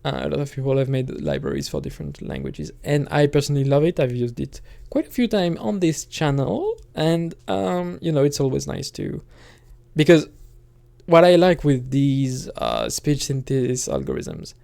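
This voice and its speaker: Guy with a creaky mellow voice just rambling solo, kinda halting, thinking out loud. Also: fairly high pitch, animated. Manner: hesitant, halting, thinking aloud. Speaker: streamer and musician talking on own channel. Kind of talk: casual rambling solo talk.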